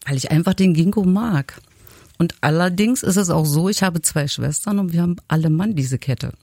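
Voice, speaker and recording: A woman's voice: on the low side, moderate pace, warm tone, dry recording. A woman from Saxony-Anhalt chatting casually as a radio guest, giving short, laconic answers. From Germany, Saxony-Anhalt. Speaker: guest co-host, radio listener. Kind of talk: casual interview chat. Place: radio studio. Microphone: close studio microphone.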